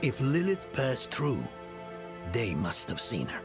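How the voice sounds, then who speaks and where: An actor playing a world-weary older nobleman and former demon slayer in a fantasy game, grave and measured. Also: mid-range voice, wildly swooping pitch, over music. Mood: grave, thoughtful. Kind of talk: dramatic fantasy game acting.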